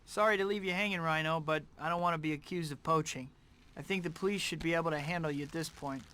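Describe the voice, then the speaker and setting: Earnest, lightly pitched young hero. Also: male voice, quick pace, sharp tone, a little hiss or hum. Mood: mocking. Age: young adult. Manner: earnest, casual, quippy. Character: earnest, wisecracking young male student hero.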